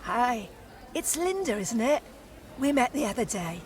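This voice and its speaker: A woman's voice: on the high side, big pitch swings, unhurried, slight background noise. An actress playing a gossipy, friendly middle-aged woman who chatters in a homely way. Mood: chatty.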